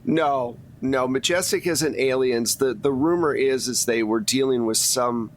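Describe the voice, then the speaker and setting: A man's voice: medium pitch, a little slow, a little hiss or hum. A man acting as game master, narrating a tabletop role-playing game in a conversational, teasing way.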